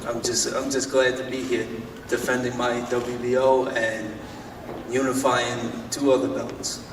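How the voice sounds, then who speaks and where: Flat-voiced boxer, echoey hall. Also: male voice, mid-range voice, a little slow, noisy background. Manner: plain, repetitive, restarts often. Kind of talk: press conference answers. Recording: echoey hall, far from mic.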